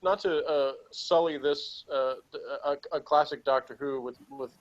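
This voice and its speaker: A man's voice: a little high, steady tone, moderate pace. A man hosting a casual episode review. He is sarcastic, chuckles often and reads from his notes. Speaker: podcast host reviewing an episode. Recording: dull lo-fi audio.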